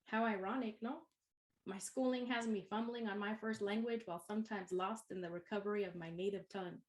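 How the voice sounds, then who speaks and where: A woman's voice: mid-range voice, steady tone, a little mellow. Chicana woman giving a poetic welcome. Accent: Chicana English with Spanish code-switching. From East San Jose, California. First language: Spanish. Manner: poetic, rhythmic, unhurried phrasing. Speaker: university instructor. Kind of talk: spoken-word welcome address.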